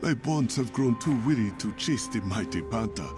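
Man acting an aged, theatrical shaman, swooping pitch. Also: lively intonation, moderate pace, music in the background, natural voice. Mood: wounded, ingratiating. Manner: theatrical, insinuating, formal. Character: aged, ingratiating male shaman adviser to the king. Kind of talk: video game cutscene acting.

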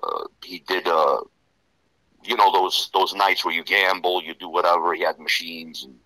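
Bright-voiced New York Italian-American man. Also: low voice, moderate pace, no room sound, low-quality recording. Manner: rambling, heavy with "you know" fillers. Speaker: interview guest. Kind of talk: casual storytelling interview.

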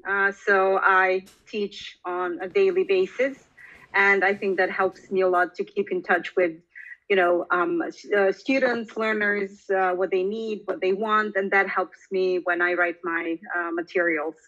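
A woman from Bosnia in her forties speaks in a bright, clear tone, pausing often with little fillers as she thinks. The sound is a bit dull and lo-fi, as if it came in over a video call. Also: medium pitch, flat delivery. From Bosnia and Herzegovina. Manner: thoughtful, many fillers. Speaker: guest, language teacher. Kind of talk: podcast interview.

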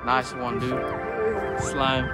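A man with a Californian accent filming himself fishing on a rocky bay shore, chatty and excited, talking into an action camera. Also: mid-range voice, extremely slow, over loud music. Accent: Californian English. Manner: excited, chatty, says dude a lot. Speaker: fishing vlogger. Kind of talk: casual outdoor chat.

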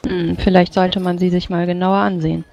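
Creaky low-voiced woman, dull audio. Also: little pitch movement, a little fast, darkish tone. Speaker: tabletop role-playing player. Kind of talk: in-character role-play dialogue.

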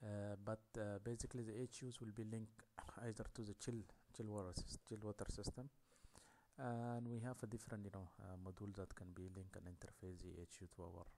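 A man giving a technical lecture over slides, talking in a halting way with many fillers. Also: fairly low pitch, fairly even intonation, gravelly, warm tone.

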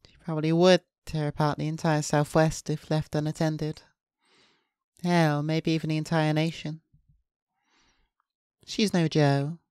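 A woman's voice: deep voice, flat delivery, measured pace, long pauses, clear, resonant tone, no echo, studio quality. A woman streamer reading visual novel narration aloud, with casual asides. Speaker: VTuber streamer. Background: soft guitar game music.

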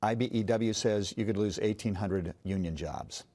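A man in his sixties hosting a TV news interview, asking brisk, pointed questions in a studio. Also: on the low side, mellow. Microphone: lapel mic.

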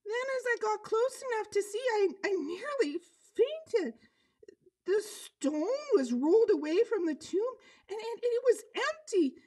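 Puppet show role: grieving, earnest female storyteller, extremely high squeaky voice, slow theatrical delivery. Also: lively intonation, dark tone, full character voice. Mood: sorrowful, hushed.

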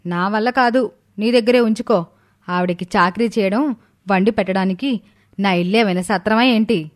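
A woman's voice: mid-range voice, moderate pace, natural voice. A woman playing a haughty, scornful daughter-in-law in a cartoon, with a sneering manner. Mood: scornful, blunt.

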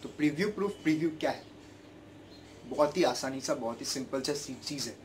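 A man's voice: mid-range voice, brisk, a little hiss or hum. Young man with a sore throat vlogging. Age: young adult. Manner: earnest, repetitive, gestures a lot with his hands. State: sore throat, clearing his throat. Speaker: YouTuber giving channel-growth tips. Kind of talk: casual explanatory vlog to camera. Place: bedroom at home, indoors. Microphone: camera in front at desk distance.

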